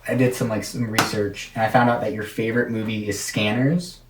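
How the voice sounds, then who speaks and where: A man's voice: fairly low pitch, level tone, a little fast, a bit bright, recorded from a distance. An American man chatting casually and jokily as a talk show host. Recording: echoey room, off-mic, faint noise.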